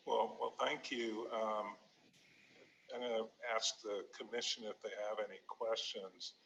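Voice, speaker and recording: A man's voice: fairly low pitch, fairly even intonation, a little slow, creaky, a bit bright, poor audio. A man chairing a virtual commission meeting, asking questions informally as he thinks aloud. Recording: faint background noise.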